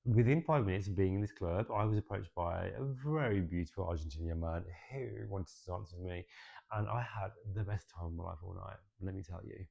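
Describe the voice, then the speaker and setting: Gravelly low-voiced man, chatty astrology reading. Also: a little fast, muffled recording. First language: English. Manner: expressive, chatty, swears casually, says "right?" often. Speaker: astrology and tarot reader.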